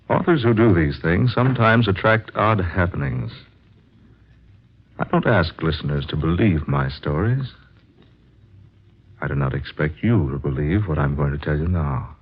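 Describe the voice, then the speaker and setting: Earnest, brooding fantasy writer: deep, deliberate delivery, vintage radio drama, slightly muffled sound. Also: male voice, animated, breathy edge, full character voice. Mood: solemn, confiding. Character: earnest, troubled male fantasy writer searching for truth. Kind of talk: 1940s radio drama acting and narration.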